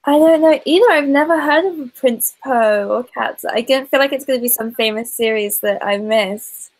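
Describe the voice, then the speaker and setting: A woman with a fairly high voice plays a quiz over a video call. She chats casually and thinks out loud, unsure and a little apologetic. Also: normal speed. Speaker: quiz contestant. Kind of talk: casual chat, thinking aloud.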